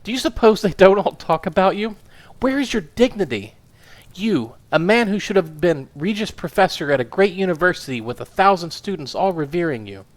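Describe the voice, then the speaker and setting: A man's voice: high voice, lively intonation, brisk, voice pushed up. A man voicing a small, furious married woman who screams and scolds her husband. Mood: furious scolding. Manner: shrieking, scolding.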